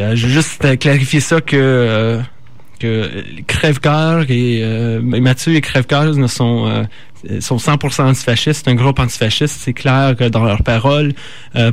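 Halting Canadian French male radio host. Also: mid-range voice, no echo. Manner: halting, stumbling over words, self-correcting. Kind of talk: informal live radio talk.

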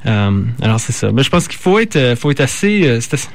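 A man hosts an informal radio show in a Canadian French accent. His delivery is halting and unscripted. He often stumbles over words, backs up and corrects himself. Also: medium pitch, very animated. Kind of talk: informal live radio talk.

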